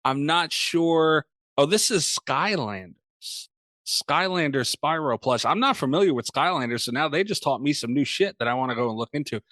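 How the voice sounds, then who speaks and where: Man, podcast host, higher-pitched voice, lively intonation, dry close sound, enthusiastic, sweary. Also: normal speed, dry recording. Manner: enthusiastic, foul-mouthed. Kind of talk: casual profane banter.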